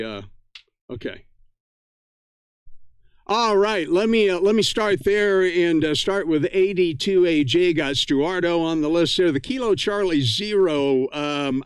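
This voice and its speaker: A man casually hosting a live ham radio net, friendly and effusively thankful, with halting, filler-heavy speech. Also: fairly high pitch, smooth, no room sound, clean studio sound.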